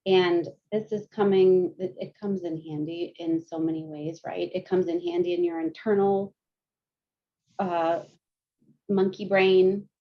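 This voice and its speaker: A woman counselor talks a support group through breathing and easy stretches in a calm, gentle way, laughing lightly now and then. Her voice sits a little low. The sound is a dull, lo-fi video call. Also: a little slow. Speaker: counselor and guest speaker. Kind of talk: guided mindfulness and yoga instruction. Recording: muffled lo-fi video call audio.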